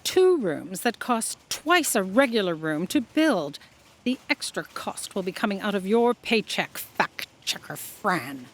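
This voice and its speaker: A woman acts out an imperious female boss for a comic sci-fi audio drama. The voice is mid-pitched and very animated. Orders come out curt, impatient and dismissive. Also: measured pace.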